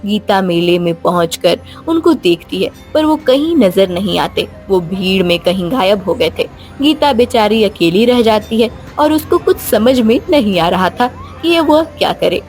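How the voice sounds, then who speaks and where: A woman narrator reading a scripted moral story for young listeners. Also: mid-range voice. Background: background music.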